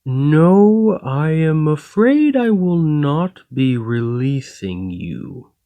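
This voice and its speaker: An escaped golem, acted by a man speaks in an ASMR roleplay at an extremely unhurried pace. The pitch sits in an average male range. The phrasing is formal and measured, that of a polite, coldly logical captor who serves only himself. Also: a little mellow. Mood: cold, matter-of-fact.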